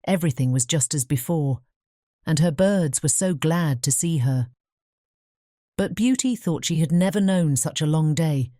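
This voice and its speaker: Woman narrator, low-pitched, measured, bedtime fairy tale audiobook, very dry close recording. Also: warm tone, no room sound.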